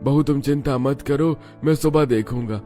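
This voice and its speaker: A man's voice: mid-range voice, normal speed, dark tone, soft background music, pitched above the performer's own voice, natural voice. A man voicing a caring older father-in-law in a cartoon story, speaking with concern and reassurance. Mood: reassuring. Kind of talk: Hindi cartoon story dialogue.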